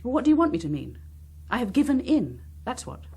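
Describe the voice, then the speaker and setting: A woman's voice: fairly high pitch, sing-song, fairly quick, a little mellow, slightly rough, full character voice. An actress playing a bitter, proud, defiant woman, sardonic and clipped, in a naturalistic political drama. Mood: bitter, angry.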